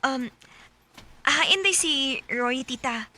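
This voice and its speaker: A woman's voice: sits high, lively intonation, slow, sharp tone, full character voice. An actress playing a reserved young working woman in a radio drama, with a Cebuano accent. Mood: uneasy, suspicious. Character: Nalin, young working woman, reserved girlfriend telling her story.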